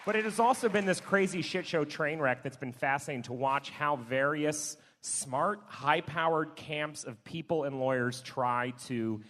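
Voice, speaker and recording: A man's voice: on the high side, normal speed, a bit bright. A man talking casually and wryly as a comedian panelist on a live show. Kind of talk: wry casual panel talk. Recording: echoey hall, live stage show.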